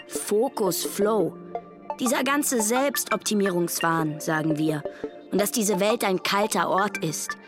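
A woman's voice: mid-range voice, natural voice. An actress playing a bold, rebellious young woman, emphatic and provocative, in slangy radio play monologue over music.

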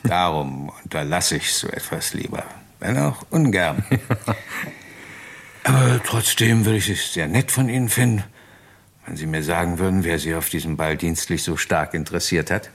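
An actor playing a courteous but sceptical male police inspector in a radio crime drama, speaking in a formal, deliberate, politely probing manner. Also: deep voice. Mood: measured, probing.

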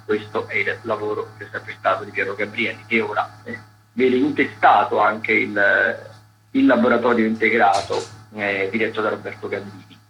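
Bright-voiced man chatting through radio culture listings. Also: on the low side, steady tone, soft background music, poor audio. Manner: halting, digressive delivery with self-corrections. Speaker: radio culture critic presenting a theatre agenda. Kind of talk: chatty live listings commentary.

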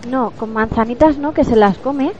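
A woman's voice: fairly high pitch, moderate pace, close to an everyday voice. A woman playing a pert teenage schoolgirl prefect in an amateur radio play. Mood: teasing. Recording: dull, faintly noisy home recording.

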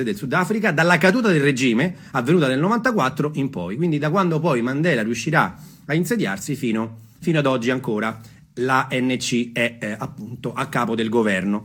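A man's voice: mid-range voice, fairly bright tone, slightly rough. A man telling a story theatrically and off the cuff, emphatic, repeating phrases and putting questions to his listeners. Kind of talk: theatrical spoken storytelling, semi-improvised.

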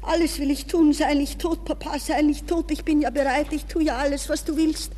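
A woman's voice: sits high, fairly even intonation, brisk, dark tone, big-room sound, slight background noise, close to an everyday voice. An actress playing a desperate, dreamy young woman from a good Viennese family in a theatrical interior monologue for a German radio play. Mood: anxious, pleading.

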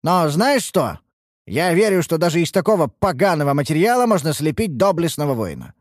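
A man's voice: a little high, big pitch swings, a little fast, no room sound, clean studio sound, voice pushed up. A man voicing a sardonic, bossy mage master with a mocking, persuasive manner in a fantasy audiobook. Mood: scornful, challenging.

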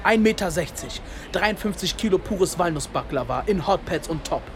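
A man's voice: sits high, expressive, normal speed, background noise. A man playing a street-smart narrator in a radio drama, telling a gritty story in rhythmic, staccato phrases. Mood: animated storytelling. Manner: rhythmic, staccato spoken-word delivery in short phrases. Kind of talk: scripted radio drama narration in second person. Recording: loud music bed, urban street sounds.

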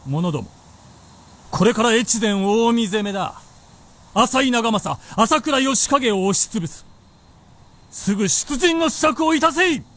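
A man's voice: very high voice, animated, unhurried, long pauses, a bit bright, slight background noise, full character voice. A man playing an imperious, proud warlord with haughty, archaic lordly speech in a period radio drama. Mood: commanding.

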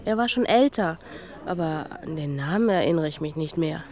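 An actress playing a sharp-tongued, world-wise former bar waitress in a German radio drama, sardonic and knowing. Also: female voice, low voice, expressive, moderate pace. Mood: recalling.

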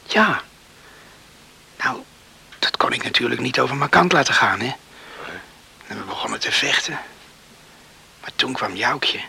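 Hot headed young village guy, bright forceful voice bit raspy, old dutch radio play. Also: medium pitch, expressive, a little slow, pauses often, heavily acted. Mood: quieter, grumbling. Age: young adult. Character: hot-headed young village man devoted to his mother.

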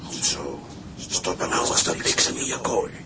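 Loud ship and sea noise surrounds a low-voiced seaman. He is gruff and commanding, snapping out brisk, no-nonsense orders in the manner of an audio drama. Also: animated. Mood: impatient, commanding. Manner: barking orders, impatient, no-nonsense. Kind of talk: Dutch audio drama acting.

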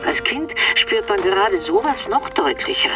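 A woman's voice: mid-range voice, big pitch swings, moderate pace, far from the mic, music in the background, natural voice. An actress playing a defensive, exasperated middle-aged mother in a naturalistic radio drama. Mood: evasive. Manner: exasperated, dismissive. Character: defensive, irritable middle-aged mother dismissing family secrets.

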